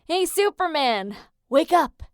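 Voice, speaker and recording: A cheeky teenage boy from an adventure story, acted out by a woman, pitched high for a lad. He teases and pushes with brash confidence, recorded very dry. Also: big pitch swings, slow, dry recording, full character voice. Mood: urgent, cocky. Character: Leo, cheeky, teasing teenage boy demigod. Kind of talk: dramatic reading of a fan story.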